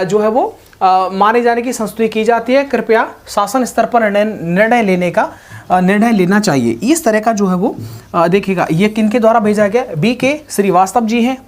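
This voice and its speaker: Male host for exam update explainer: higher-pitched voice, teacherly delivery, some English mixed in. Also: moderate pace. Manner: didactic, often checking that listeners follow; mixes English words into speech. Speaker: host of a job exam news channel. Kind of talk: explanatory talk, reading out and explaining a notice.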